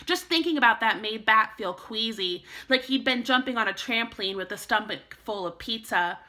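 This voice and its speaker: A woman teacher reading a children's storybook aloud in an expressive way meant for young listeners. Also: on the high side, normal speed.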